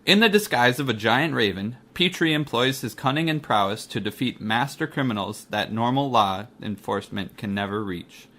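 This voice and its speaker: A man's voice: medium pitch, lively intonation, normal speed, hardly any pauses, fairly bright tone. A man announcing and narrating a radio mystery in a scripted style.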